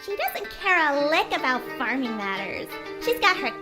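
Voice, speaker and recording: A woman's voice: high-pitched, sing-song, normal speed. A woman playing a spiteful, sneering young village bully in a visual novel. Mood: resentful. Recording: music behind the voice.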